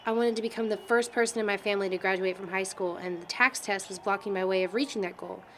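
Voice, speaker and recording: Young female student, played by an actress, talkative, informal, teasing, medium pitch, indie film dialogue, slightly noisy recording. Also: fairly even intonation, quick pace, a bit bright, close to an everyday voice. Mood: candid, unsure. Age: young adult. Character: Sylvia, chatty young college student teasing an old friend. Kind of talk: naturalistic amateur film dialogue.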